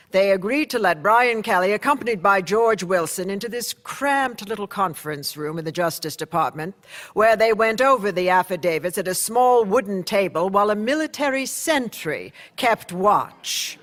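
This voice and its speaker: An earnest newspaperman telling his account as a radio drama narrator. The voice is high and measured, carried in a long reverb. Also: animated, a bit bright. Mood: measured, suspenseful. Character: earnest male newspaper insider recounting a court battle.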